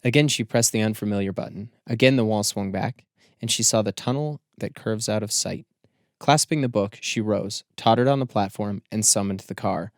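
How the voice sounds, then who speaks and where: Low-voiced man narrating, close and dry. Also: moderate pace, no echo. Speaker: audiobook narrator. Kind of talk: scripted literary narration.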